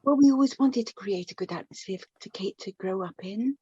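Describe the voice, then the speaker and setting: A woman's voice: mid-range voice, mellow, husky, dry recording, lightly acted. An actress playing a chatty, affectionate middle-aged mother of the bride, slightly flustered and hesitant, in a radio play. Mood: tender, sincere. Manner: hesitant, halting, chatty, with small nervous repetitions. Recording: dull lo-fi, slightly muffled recording.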